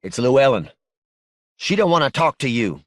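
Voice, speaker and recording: A man's voice: mid-range voice, very animated, moderate pace, no echo, voice pushed up, close to an everyday voice. A man voicing a stern, indignant older mother in an audiobook, scolding and emphatic.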